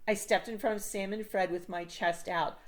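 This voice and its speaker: A woman reading a children's chapter book aloud in an expressive teacher's style. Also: medium pitch, normal speed.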